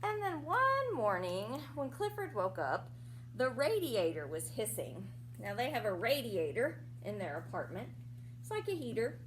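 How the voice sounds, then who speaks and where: Animated, higher-pitched woman reading to children. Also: moderate pace, a little hiss or hum, rough recording. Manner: simple, explanatory phrasing for small children. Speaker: teacher reading aloud to young children. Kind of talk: animated picture-book read-aloud with asides and questions to children.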